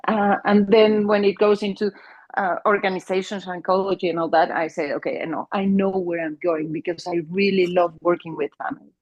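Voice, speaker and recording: A woman's voice: lowish voice, fairly even intonation, fairly quick, darkish tone, dull audio. A middle-aged woman from Mexico, a Spanish native speaker with a Mexican accent, talking about her work as a family therapist in an interview, earnest and full of restarts. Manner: earnest, many restarts and fillers. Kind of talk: interview conversation.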